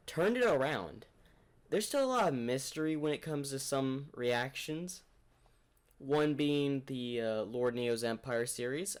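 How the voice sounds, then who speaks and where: A man with an average-pitched voice hosts a reaction video. He talks casually and rambles as he thinks aloud, commenting as a fan would.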